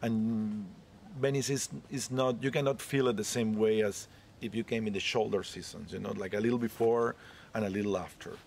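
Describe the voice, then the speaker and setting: A man from Peru, in his forties, gives a rambling, friendly street interview. His voice sits a little low, and there is faint outdoor ambience behind him. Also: fairly even intonation, moderate pace, vocal fry, a little mellow, a little hiss or hum. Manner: chatty, rambling, non-native English. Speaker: interviewee, cruise ship photographer. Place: leafy garden courtyard outdoors.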